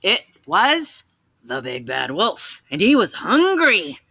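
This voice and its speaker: A woman storyteller giving an expressive, dramatic read-aloud narration for children. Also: mid-range voice, takes it slowly, no room sound.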